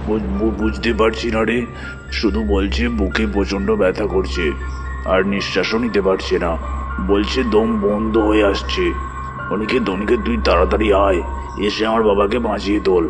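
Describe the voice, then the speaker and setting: Male actor as desperate pleading friend in a horror story: lowish, narrow pitch range, stammering, over loud music. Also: flat delivery, normal speed, natural voice. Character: desperate childhood friend pleading to save his father. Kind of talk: audio horror story acting.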